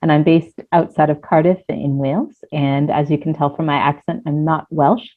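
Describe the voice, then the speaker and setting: Low-voiced woman on a tinny line. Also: steady tone, moderate pace. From United States. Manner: hesitant, many ums, thoughtful. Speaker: podcast guest, coach. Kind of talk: casual interview chat.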